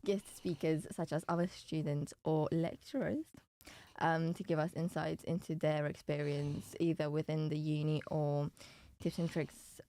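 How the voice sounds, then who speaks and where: A woman's voice: sits low, warm tone, no echo. A young woman with a Romanian accent, chatting casually as a student podcast co-host. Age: young adult. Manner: giggly, laughs often.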